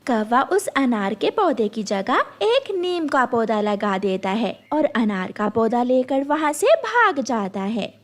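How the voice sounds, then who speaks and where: A woman narrating a scripted children's moral story as a storyteller. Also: on the high side.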